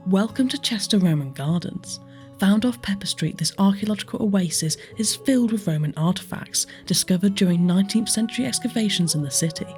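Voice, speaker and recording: A woman's voice: mid-range voice, brisk, darkish tone. A woman with a British accent, giving scripted narration as a history tour presenter. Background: background music.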